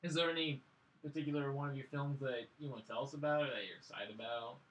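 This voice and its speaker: A man's voice: medium pitch, quick pace, fairly bright tone, dull audio. A young man hosting a casual podcast interview, asking hesitant, loosely phrased questions. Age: young adult. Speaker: podcast host interviewing a guest.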